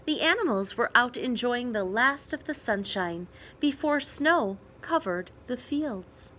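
A woman reading a children's picture book aloud as storyteller, with expressive emphasis and dramatic pauses. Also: a little high, slight background noise.

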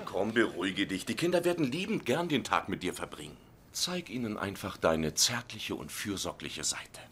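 A man's voice: sits low, big pitch swings, normal speed, background noise. A man acting an easygoing, playful working-class husband in a sitcom, teasing and reassuring.

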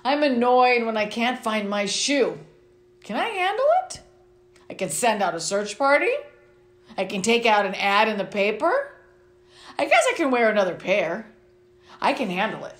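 A middle-aged woman reading a children's picture book aloud to students in an expressive way. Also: medium pitch. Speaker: school vice principal reading to students. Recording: roomy, echoing sound.

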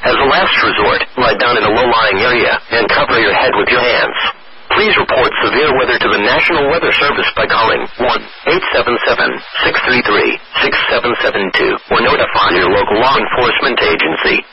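A synthetic man's voice reading formal emergency weather bulletins over radio in a measured, impersonal way. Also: mid-range voice, a loud crowd. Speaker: automated weather radio announcer. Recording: noisy, dull weather radio broadcast.

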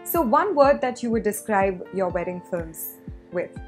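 Woman, interviewer, upbeat, animated pitch, encouraging, faint music. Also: measured pace. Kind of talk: upbeat quick-fire interview questions.

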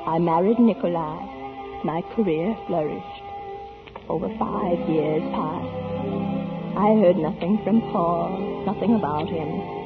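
An actress playing a weary, melancholy opera singer in a subdued, wistful manner, in 1940s radio drama style. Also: female voice, on the low side, takes it slowly. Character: weary opera diva recalling her past, melancholy, listless. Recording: tinny 1940s radio broadcast, music behind.

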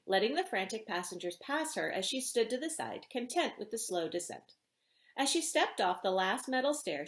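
A middle-aged woman, an author reading her romance novel aloud in an expressive narrating style. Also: medium pitch. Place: indoor room with bookshelves. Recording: roomy indoor echo.

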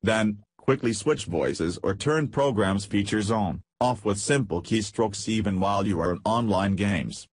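A man reading scripted promotional narration for a software demo, announcing headings and lists in short segments. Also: on the low side, a little slow, few pauses, husky, no room sound. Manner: reads feature lists in short headed segments.

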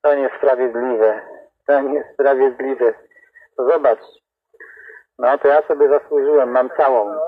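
A man's voice: mid-range voice, fairly even intonation, a little slow, long pauses, slightly rough, dry recording. A man with a Greater Poland accent chatting casually as an amateur operator over CB radio. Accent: Polish, Greater Poland. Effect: CB radio transmission. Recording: tinny, noisy CB radio reception. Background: radio static.

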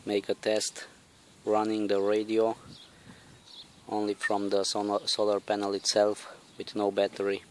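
A man giving a casual, hands-on demonstration in a halting, deliberate way, recorded outdoors on a handheld camera. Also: fairly low pitch, completely flat delivery, a little hiss or hum. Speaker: vlogger demonstrating electronics. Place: outdoors on a lawn. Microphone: handheld camera or phone, held by the speaker.

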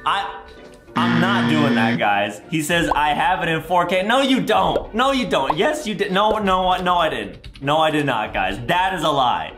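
A man's voice: on the high side, animated, measured pace, reverberant. A young American man giving exaggerated, childlike gameplay commentary for kids, dramatic and repeating himself. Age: young adult. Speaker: gaming video host. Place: indoor recording room. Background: music.

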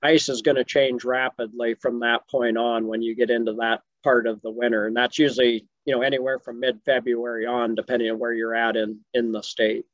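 A man's voice: medium pitch, flat delivery, a little fast, hardly any pauses, no echo. A man in his fifties with a Midwestern American accent, explaining fishing in a webinar Q&A, easygoing with many ums, from a home office on a video call. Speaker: fisheries expert answering questions. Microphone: video call webcam. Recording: muffled video call audio.

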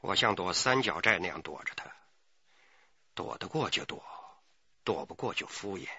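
Weary male boss under questioning, radio drama, deep, dry and close, slightly muffled, evasive. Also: lively intonation, normal speed, sharp tone, breathy edge, no room sound, full character voice. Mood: resigned. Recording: dull, muffled recording.

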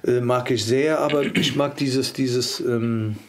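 A man in his forties talks as a podcast guest in a studio. He is animated and quick to laugh, peppering his speech with fillers and casual swearing. He speaks close to a condenser mic. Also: mid-range voice. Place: podcast studio with foam panels. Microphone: studio condenser mic on boom arm, wearing headphones.

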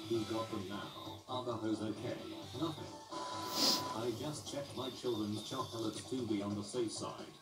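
Deadpan man reading memes, far off. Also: on the low side. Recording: roomy, distant, loud music behind. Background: loud background music.

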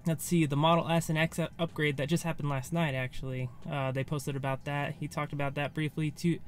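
A man's voice: fairly high pitch, little pitch movement, brisk. An American man in his thirties giving a casual, off-the-cuff recap to camera from inside a car, loosely phrased as if thinking aloud. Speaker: vlogger. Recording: faint background ambient noise.

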